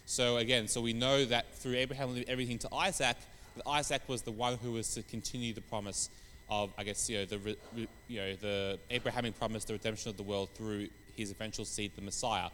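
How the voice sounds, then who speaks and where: Croaky man giving a casual sermon. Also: lowish voice, steady tone, fast talker, fairly bright tone, long reverb tail, slight background noise. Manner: rambling, many fillers and restarts. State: croaky from hay fever. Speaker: preacher. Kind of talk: informal sermon on scripture.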